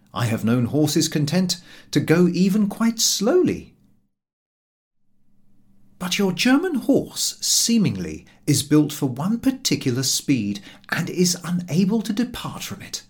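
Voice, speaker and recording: A man narrating a comic travel story as an audiobook reader, in a wry, measured and expressive way. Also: mid-range voice, husky.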